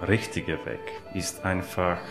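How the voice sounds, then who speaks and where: A middle-aged, man reflects on personal experience. His voice is deep. He speaks in measured, emphatically repeated phrases over faint music. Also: steady tone. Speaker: interviewee.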